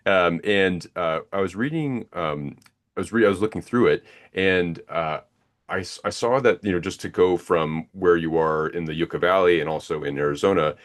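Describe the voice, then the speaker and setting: Man, very low voice, podcast host, hesitant, restarts. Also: moderate pace. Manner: hesitant, many restarts and fillers. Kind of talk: interview question.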